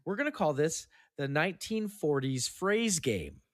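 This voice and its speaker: A podcast host with a very animated voice that swings widely in pitch. The talk is casual and playful, like someone running a game for friends. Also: unhurried. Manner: slips into put-on old-time slang voice.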